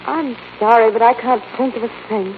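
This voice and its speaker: A woman's voice: fairly high pitch, fairly even intonation, normal speed, close to an everyday voice. An actress playing an anxious, earnest young woman in a 1940s radio mystery, with dramatic, emphatic delivery. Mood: worried. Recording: muffled 1940s radio broadcast with hiss.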